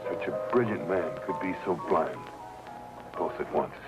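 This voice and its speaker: An American actor playing an earnest, bitterly restrained chauffeur with a troubled past in a 1950s crime drama. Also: male voice, low voice, moderate pace, rough recording. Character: lovesick, bitter, deferential male chauffeur with prison past. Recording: old soundtrack under loud music.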